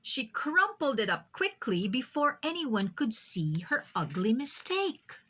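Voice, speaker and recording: Woman, children's read-aloud, lively melodic range, slow deliberate pace, expressive storytelling. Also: fairly high pitch, slightly rough. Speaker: storybook reader.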